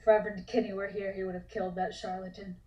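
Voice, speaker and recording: A woman's voice: mid-range voice, level tone, fast talker, warm tone, reverberant, dull audio, lightly acted. A woman playing a resentful, impatient, menacing grown son in a script table read.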